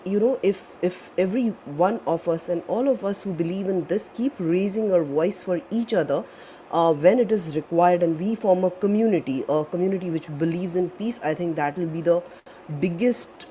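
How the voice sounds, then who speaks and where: A woman panelist in a casual audio chat room, speaking in a measured, hesitant way with many fillers. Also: lowish voice, dark tone, slight background noise.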